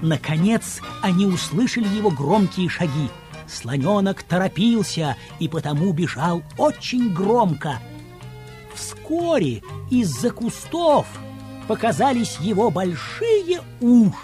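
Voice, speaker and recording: A man narrating a children's audio play in an expressive, scripted storytelling style. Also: high voice, slow pace. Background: music.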